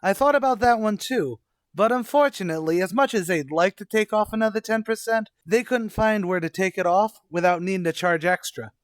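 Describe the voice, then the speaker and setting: A high-voiced, scheming tavern manager played by a man for an old-time radio comedy. He is self-important and blustery, tossing out slangy wisecracks with mangled grammar. The sound is dry, with no room around it. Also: brisk, no echo, natural voice. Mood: wry scheming. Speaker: actor in a comedy radio play.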